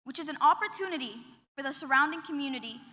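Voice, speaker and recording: A young woman who grew up in Qatar gives an earnest, measured commencement speech as a student speaker at a podium. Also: high-pitched, pushed and bright. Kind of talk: prepared graduation speech. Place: large commencement hall. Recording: long reverb of a big hall.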